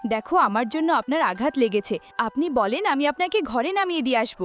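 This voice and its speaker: A woman's voice: sits high, lively intonation, fairly quick, faint music behind. A woman playing a courteous, anxious young woman in a cartoon horror story, with a dramatic manner. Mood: concerned. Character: concerned, apologetic, easily frightened young woman.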